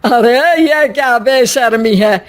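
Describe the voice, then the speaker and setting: A woman's voice: on the high side, slow. A woman voicing a conservative middle-aged mother-in-law in a cartoon, nagging and exclaiming indignantly. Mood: scandalized.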